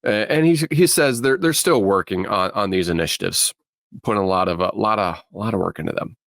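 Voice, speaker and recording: A man with a fairly low voice gives tech news and opinion on a podcast. His delivery is halting, with phrases repeated as he goes, and the sound is dry and close. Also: creaky, fairly bright tone, no room sound. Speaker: podcast panelist.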